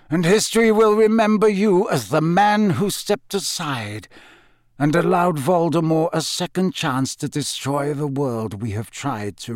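A man voicing a grave old male wizard in an audiobook, solemn, measured and emphatic, with urgent authority. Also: mid-range voice, no echo, clean studio sound, lightly acted. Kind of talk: audiobook character voice.

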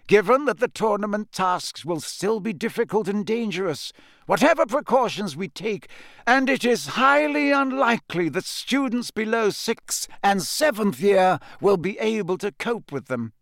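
A man playing an elderly, kindly and authoritative wizard headmaster giving a formal, measured address in a storybook reading. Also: high voice, big pitch swings, fairly bright tone, dry recording, studio quality, pitched above the performer's own voice, full character voice. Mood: grave, authoritative.

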